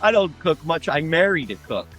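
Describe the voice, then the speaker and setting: A man co-hosting a casual interview, asking questions in a halting way as he searches for words. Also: a little high, sing-song, bright, forceful tone, soft background music.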